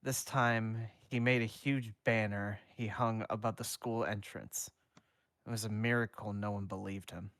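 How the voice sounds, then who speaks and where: A man's voice: lowish voice, steady tone, a little slow, fairly bright tone, no room sound. A jittery teenage half-ghost boy frets and protests in a raised voice, as a man puts him on. He sounds exasperated and incredulous, words tumbling out in a storybook reading. The delivery is dry and close. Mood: worried. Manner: fretful, rising and exasperated.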